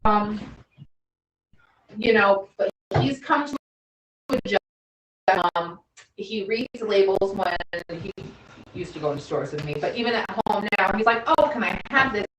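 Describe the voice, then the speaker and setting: A woman's voice: mid-range voice, animated, unhurried, pauses often. A woman in her early thirties, a mother, chatting casually as a guest, rambling with many restarts. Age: 30s. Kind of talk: casual chat. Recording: roomy, distant mic with faint background noise.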